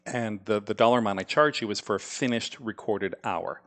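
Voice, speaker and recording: A man's voice: on the low side, fairly quick, fairly bright tone. A man, an author guest on a podcast, explaining audiobook production in a loose, chatty way. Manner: explanatory, many "you know" fillers. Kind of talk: casual podcast chat.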